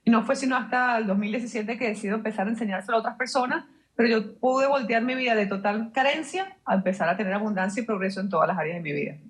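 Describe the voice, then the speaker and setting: A woman's voice: on the high side, fast. A woman guest explaining her ideas fluently in an interview, emphatic and full of rhetorical questions. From Venezuela. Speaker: guest, mindset mentor.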